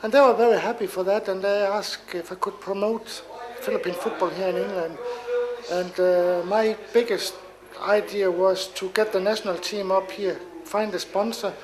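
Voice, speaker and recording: High-pitched guy from denmark, lots of uhs, crowd chattering behind him. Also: steady tone, moderate pace, low-quality recording. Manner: hesitant, many filler sounds. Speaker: football team founder. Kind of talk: interview answers.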